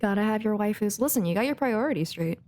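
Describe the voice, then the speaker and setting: A woman's voice: medium pitch, animated, quick pace, darkish tone. A woman streaming a video game, chatting casually in a playful, giggly, rambling way. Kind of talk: casual livestream chat while playing a game.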